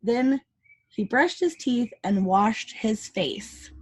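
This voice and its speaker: Higher-pitched woman reading to preschoolers. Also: slow, husky. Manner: chatty asides to children, expressive dialogue reading. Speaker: preschool teacher reading aloud to class. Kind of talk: read-aloud storytelling for young children.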